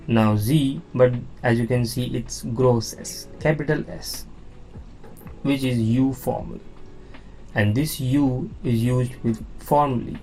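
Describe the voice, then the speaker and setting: A man's voice: medium pitch, warm tone. A man teaching a beginner language lesson, explaining slides patiently and repeating words with frequent "now" and "okay?".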